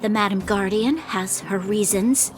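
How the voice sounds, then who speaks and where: A woman's voice: mid-range voice, unhurried, close to an everyday voice. A woman voicing a proud, earnest young guard officer in a video game, formal and firm. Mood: defensive. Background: game music.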